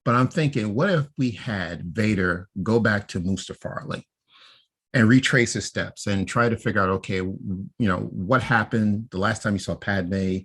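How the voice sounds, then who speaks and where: A man with a low voice talks casually on a podcast. His tone is a little husky, and he lays out fan theories step by step. He often adds a quick "right?" to bring his co-host along. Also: a bit bright.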